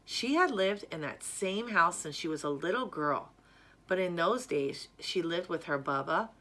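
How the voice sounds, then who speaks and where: A woman reading a children's story aloud in a measured, careful, expressive way. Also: on the low side. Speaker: storyteller reading a picture book.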